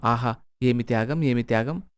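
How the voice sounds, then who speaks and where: A man's voice: mid-range voice, normal speed, clear, resonant tone, no echo, close to an everyday voice. A man voicing a sly village beggar in a moral story, theatrical and sarcastic, full of mock pity. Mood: mock awe. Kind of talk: Telugu moral-story character acting.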